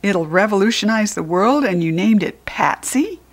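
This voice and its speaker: A woman's voice: mid-range voice, animated, moderate pace, lightly acted. A woman voicing a wisecracking young schoolboy, a playful and questioning sidekick in storybook mischief. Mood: wry.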